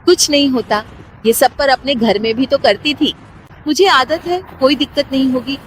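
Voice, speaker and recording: A woman voicing a dutiful, put-upon young daughter-in-law, polite but quietly exasperated, in a cartoon story. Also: high voice, normal speed, a little hiss or hum, lightly acted, poor audio. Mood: obedient.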